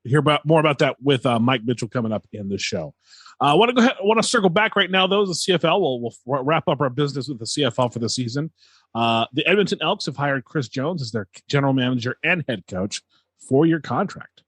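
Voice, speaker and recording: A man gives casual sports commentary as a podcast co-host. His voice is middle-pitched and a little husky, and he tends to restart his phrases haltingly. The recording is close and dry. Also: expressive, a bit bright, no room sound.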